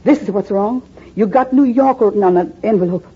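Woman voicing an older southern landlady, husky and motherly, old radio sound. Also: mid-range voice, brisk, lightly acted. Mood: urgent. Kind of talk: 1940s radio drama acting. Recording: dull old 1940s radio broadcast.

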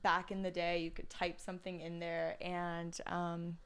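Giggly young woman guest, smooth voice. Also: on the low side, monotone, a little slow. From China. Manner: chatty and giggly, with many ums. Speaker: podcast guest. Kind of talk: casual interview chat.

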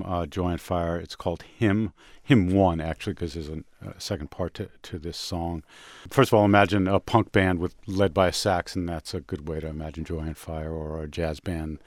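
A man's voice: sits low, fairly even intonation, moderate pace, darkish tone, dry recording, studio quality. A man hosting a music show, chatting casually and introducing songs in an enthusiastic, rambling way, as if thinking aloud.

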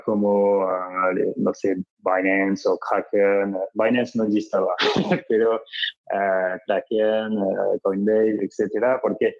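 A man's voice: fairly low pitch, darkish tone, dull audio. A man from France with a French accent, speaking as a startup cofounder answering an interview question, with many fillers and restarts.

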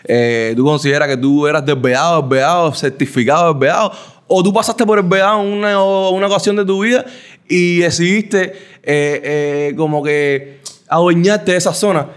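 A man's voice: on the high side, steady tone, moderate pace, clear, resonant tone. Teasing man hosting a bar podcast. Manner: playful, teasing, repeats himself, lots of slang. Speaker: podcast host. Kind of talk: casual interview over drinks.

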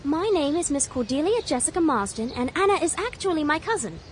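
A woman's voice: sits high, very animated, a little fast, slight background noise. An actress playing a prim, spirited young Victorian lady in a radio drama, with a proper, emotive delivery. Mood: guarded. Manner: proper, crisply formal, emotive.

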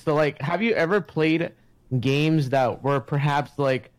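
A man's voice: fairly high pitch, dry recording. A man in his twenties in a casual group chat, joking and teasing, with a lot of slang. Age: 20s. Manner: joking, quick to tease, says bro a lot.

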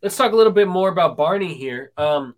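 Host, man in his twenties, a bit higher-pitched, hesitant, many fillers and restarts. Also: lively intonation, brisk, crowd noise. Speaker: podcast host. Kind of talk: casual interview chat.

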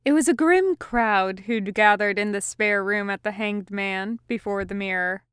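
Woman reading a story, clear smooth voice, takes her time, dry close sound. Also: medium pitch, a little slow, a bit bright, no room sound. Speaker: fan fiction audiobook reader. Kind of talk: scripted story narration.

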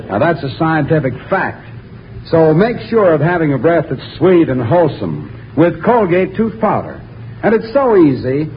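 A man reading a 1940s radio advertisement with earnest, emphatic, polished salesmanship. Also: a little high. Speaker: commercial announcer. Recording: lo-fi 1940s radio, faint noise.

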